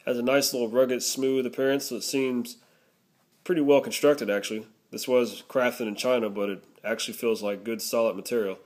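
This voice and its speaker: A man's voice: medium pitch, steady tone, normal speed. A man narrating a casual product review, explaining things step by step.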